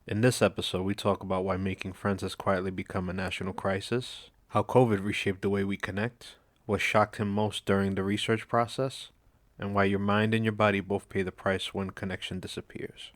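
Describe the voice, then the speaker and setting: Male podcast host, very low voice, measured delivery, dry close sound. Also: flat delivery, no room sound. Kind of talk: scripted intro, then interview questions.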